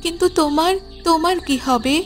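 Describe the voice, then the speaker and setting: A high-pitched, tender mother voiced by a woman in an animated fairy tale. She is the woodcutter's anxious, loving wife, heard over faint music. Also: fairly quick, natural voice.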